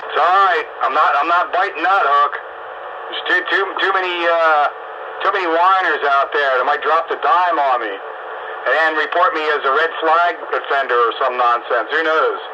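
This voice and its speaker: A man's voice: a little high, moderate pace. A man chatting casually over amateur radio with folksy, sardonic grumbling. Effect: two-way radio transmission. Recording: muffled, noisy ham radio transmission. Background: clear radio static and noise.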